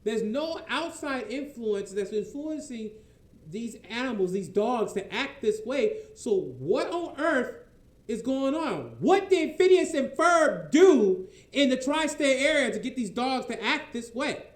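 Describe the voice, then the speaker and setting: A man's voice: very high voice, lively intonation, unhurried, reverberant. A man giving casual reaction commentary, stammering and repeating his words for emphasis. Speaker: reaction video host.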